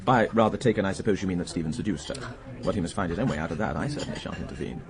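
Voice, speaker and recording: A suave upper-class English gentleman talks in a very deep, quick voice. He is cynical, urbane and teasing, in radio drama style, with busy chatter behind him. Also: expressive. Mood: amused, cynical. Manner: urbane, drawling wit, mocking. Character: suave, cynical gentleman, war survivor, teasing a lady friend.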